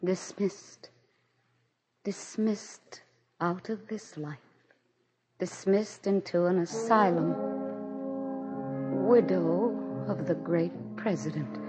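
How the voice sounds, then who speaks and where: Slow, low-voiced grieving old widow. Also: female voice, loud music behind the voice. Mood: bereft, bitter. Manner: theatrical, emotional, drawn-out phrasing. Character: aging grieving presidential widow, weary, wistful, haunted, fragile. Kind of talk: 1940s radio drama acting and narration. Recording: dull vintage 1940s radio recording.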